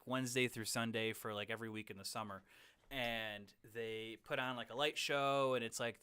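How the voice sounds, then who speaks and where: Bright, crisp-voiced young host. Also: male voice, medium pitch, dry recording. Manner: easygoing, laughs often. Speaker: podcast host. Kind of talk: casual podcast chat.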